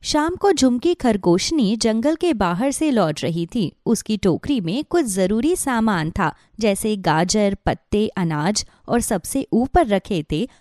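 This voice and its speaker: A woman narrating a children's story from a script in an expressive storytelling manner. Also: medium pitch, slow pace, hardly any pauses, no room sound, studio quality.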